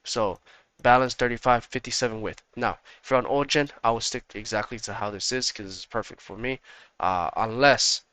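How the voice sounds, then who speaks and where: Slightly hoarse man, bright rambling talk. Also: medium pitch, moderate pace, no echo. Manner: rambling, repeats himself, talks straight to viewers. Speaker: gaming channel host. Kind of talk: casual tactics walkthrough.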